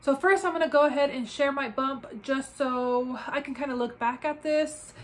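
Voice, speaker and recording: A woman's voice: high-pitched, normal speed, a little hiss or hum. A young woman with a congested, nasal voice, slightly out of breath, chatting casually as a vlog host. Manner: chatty, many "like" fillers. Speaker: crafting podcast host. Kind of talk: casual personal vlog chat.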